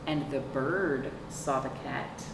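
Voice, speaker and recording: A middle-aged woman reading a picture book aloud to young children as a librarian, explaining deliberately and asking rhetorical questions. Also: very deep voice, gravelly. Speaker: children's librarian hosting storytime. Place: indoor room with sofa. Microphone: camera a few feet away. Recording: echoey, noisy room, distant mic.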